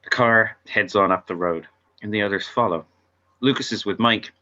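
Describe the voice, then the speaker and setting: A man's voice: fairly low pitch, normal speed, bright, forceful tone, lo-fi sound. A man giving scripted narration, reading out stage directions at an informal table read.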